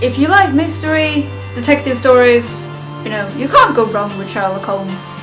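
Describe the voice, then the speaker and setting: A woman's voice: fairly high pitch, normal speed, over music, low-quality recording. A young woman talking casually and chattily to her viewers as a video reviewer, giving commentary on a list. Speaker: YouTube reviewer.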